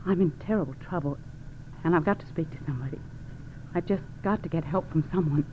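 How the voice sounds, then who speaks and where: A scheming gangster's wife played by a woman pleads and confides as if helpless, in 1940s radio drama style. The recording is tinny and narrow, with a steady hiss. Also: deep voice, fast talker, close to an everyday voice. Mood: distressed, pleading.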